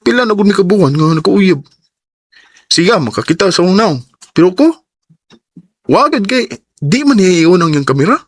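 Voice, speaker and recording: A man's voice: high voice, sing-song, normal speed, long pauses, no echo. A man playing an eager, sincere young suitor in a Cebuano radio drama, with a Cebuano accent. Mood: worried.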